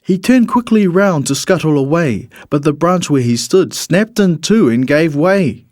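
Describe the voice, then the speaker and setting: A man's voice: on the high side, no echo. Man narrating a children's storybook, dry close sound. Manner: expressive, measured storytelling in the character of a bird narrator. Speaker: storybook reader.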